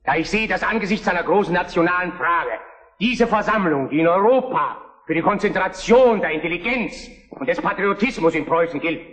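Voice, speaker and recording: An actor playing a scornful, defiant Prussian prime minister, giving a formal, emphatic speech in a vintage historical drama. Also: male voice, sits high, animated, brisk, fairly bright tone, big-room sound. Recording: dull old film soundtrack.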